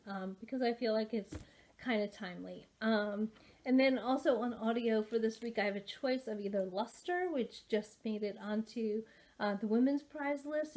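A woman's voice: fairly high pitch, darkish tone. Rambling woman vlogging at her desk. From United States. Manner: rambling, many fillers and restarts. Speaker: booktuber. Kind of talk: casual rambling book vlog. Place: home study with bookshelves, indoors. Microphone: webcam at desk.

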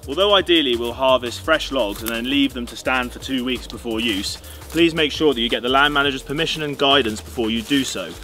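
A man's voice: medium pitch, brisk, sharp tone, faint music behind. A young British man presenting an upbeat step-by-step tutorial outdoors in a garden. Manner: upbeat, clear instructional delivery.

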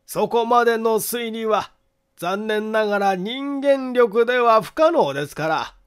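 Guy doing a fussy methodical detective for an audiobook, super high voice, slow careful formal explaining. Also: smooth. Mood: methodical, confident. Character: meticulous amateur male detective explaining his deductions. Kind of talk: acted audiobook monologue.

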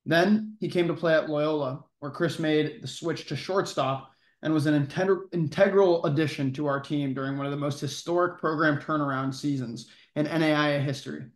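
A man's voice: fairly high pitch, steady tone, moderate pace, hardly any pauses. Male podcast co-host, upbeat intro and casual questions, echoey room sound. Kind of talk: podcast hosting, read intro then questions.

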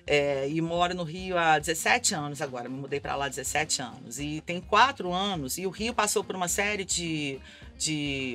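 A middle-aged woman from Belo Horizonte with a Mineiro accent, an advertising executive in a seated studio interview. She talks energetically, often ending with a questioning "né?". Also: on the low side. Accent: Mineiro Brazilian Portuguese. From Belo Horizonte, Brazil. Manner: energetic, talks with her hands, frequent "né?". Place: small indoor studio set.

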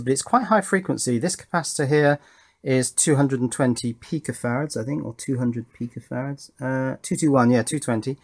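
A British man presenting a hands-on electronics demonstration in a measured, explanatory way, thinking aloud as he goes. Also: mid-range voice, dry recording. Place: indoor workbench room.

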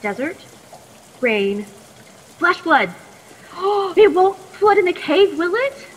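A woman's voice: extremely high pitch, very animated, slow, heavily acted. A woman playing a plucky, nervous, chatty teenage captive in a radio play, stammering politely in a reverberant recording. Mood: anxious, chatty. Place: video call performance. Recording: long echoing reverb, faint noise.

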